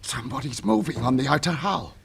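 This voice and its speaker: An actor playing an excitable, questioning spaceship radio operator, incredulous in manner, in a 1950s British radio drama. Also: male voice, mid-range voice, brisk, gravelly. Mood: alarmed. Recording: noisy vintage 1950s radio recording.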